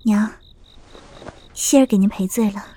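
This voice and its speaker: A woman playing a dutiful, affectionate young wife in a Chinese period audio drama, speaking in a gentle, respectful way. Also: mid-range voice, unhurried, natural voice. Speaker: voice actor in an amateur audio drama.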